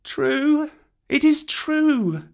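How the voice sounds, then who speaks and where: A man's voice: very high voice, big pitch swings, drawn-out words, vocal fry, darkish tone, voice pushed up, full character voice. A man voicing a sorrowful, contrite little jungle boy in a storybook, with a plaintive delivery. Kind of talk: dramatic storybook character acting.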